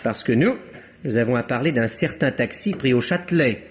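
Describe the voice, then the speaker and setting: An actor playing a shrewd, ironic police inspector from in a vintage radio mystery. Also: male voice, medium pitch, breathy edge, lightly acted. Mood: commanding. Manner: ironic, measured, probing. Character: shrewd, sardonic male police inspector, needling suspects. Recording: dull, lo-fi old radio recording.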